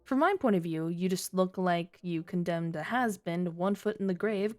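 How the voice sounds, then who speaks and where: A woman's voice: medium pitch, a little mellow, natural voice. A woman playing a flirty, taunting underworld rogue in an ASMR audio roleplay, with a teasing, sardonic drawl. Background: music.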